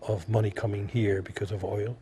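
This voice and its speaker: A man from France with a French accent, answering interview questions as an artist, halting and repeating himself as he searches for words. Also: lowish voice, steady tone, a crowd in the background. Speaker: visual artist being interviewed.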